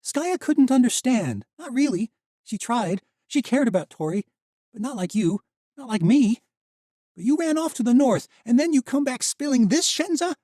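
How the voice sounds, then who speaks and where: Audiobook: male reader as a raw, fiery young woman, high and mellow-edged, lively pitch. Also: no echo, studio quality. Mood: furious. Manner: sharp, pleading, quick to anger. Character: fierce grieving young woman warrior. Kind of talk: audiobook character voice.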